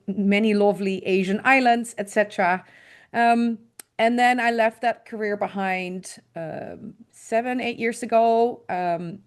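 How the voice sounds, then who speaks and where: A middle-aged woman from the Netherlands with a Dutch accent, a guest consultant talking casually and thoughtfully, with frequent ums, over a video call. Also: fairly low pitch. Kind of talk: casual interview talk.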